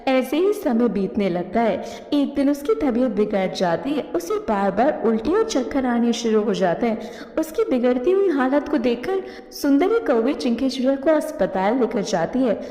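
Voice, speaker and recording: A woman's voice: fairly high pitch, sing-song, moderate pace, mellow. A woman narrator giving a scripted storytelling narration for a cartoon. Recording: echoey reverberant voice-over.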